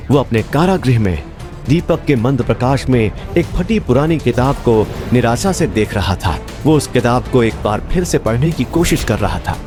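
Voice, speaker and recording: A man narrating a fantasy audio drama in a dramatic, scripted storytelling style. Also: mid-range voice. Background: background music.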